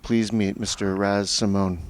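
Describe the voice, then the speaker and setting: A man hosting an interview, introducing his guest and asking questions in a halting way with frequent fillers. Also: fairly low pitch, flat delivery, a little hiss or hum. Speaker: talk show host.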